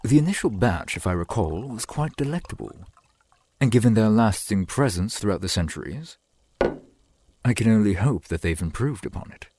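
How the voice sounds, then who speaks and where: A man playing a courtly, doting centuries-old vampire boyfriend in an ASMR roleplay, speaking in formal, archaic, gently attentive phrases. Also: lowish voice, expressive, normal speed.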